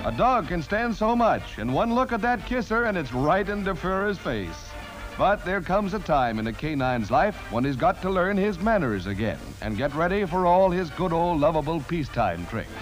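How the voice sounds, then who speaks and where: A man's voice: a little high, sing-song, normal speed, music in the background, rough recording. An American man narrating a 1940s newsreel in a punchy, theatrical announcer style. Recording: vintage 1940s newsreel soundtrack.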